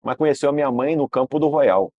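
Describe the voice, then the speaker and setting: A man's voice: medium pitch, quick pace, no room sound, narrow, tinny audio. A Brazilian man, a guest in an interview telling long family stories, rambling and restarting with frequent tag questions. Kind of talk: relaxed storytelling interview.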